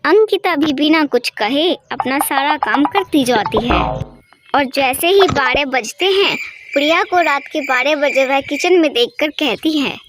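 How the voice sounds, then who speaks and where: A woman's voice: sits high, animated, unhurried. A woman storyteller reading scripted narration for a moral tale, with music under her voice.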